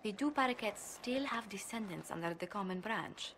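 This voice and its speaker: A woman's voice: mid-range voice, expressive, moderate pace, fairly bright tone, in a large hall, slight background noise. An actress playing a proud, worried young noblewoman diplomat in a video game, speaking formally, precisely and earnestly. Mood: measured, concerned. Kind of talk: video game cutscene acting.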